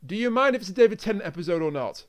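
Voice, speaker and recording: Male podcast host. High register, crisp clean tone, conversational delivery. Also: lively intonation, rapid-fire. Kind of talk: casual podcast discussion.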